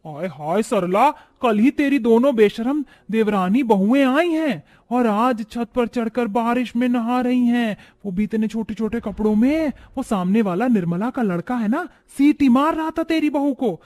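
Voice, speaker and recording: High-pitched gossipy neighbour woman played by a man. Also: lively intonation, normal speed, hardly any pauses, darkish tone. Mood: scandalised. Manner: tattling, theatrical.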